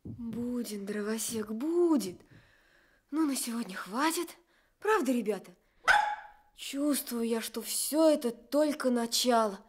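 A woman's voice: a little high, animated, takes it slowly, slight background noise. A woman playing a caring little girl who leads her friends in a radio play. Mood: caring, reassuring. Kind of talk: Soviet radio play acting.

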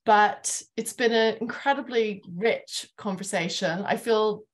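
A middle-aged woman moderating a panel discussion over a video call from a room at home. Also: mid-range voice. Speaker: panel host.

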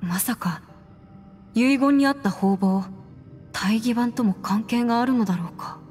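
A woman acting in a Japanese game as a composed, proud young noblewoman adventurer, speaking in a measured, firm and formal way. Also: fairly high pitch, darkish tone, natural voice. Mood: thoughtful, serious. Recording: long reverb, faint music behind.

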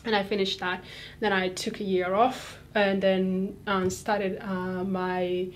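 A woman's voice: medium pitch, little pitch movement, slow pace, slight background noise. A young woman from East Africa giving earnest, explanatory answers as an interview guest. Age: young adult. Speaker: interview guest, law student. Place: small studio, seated at a desk.

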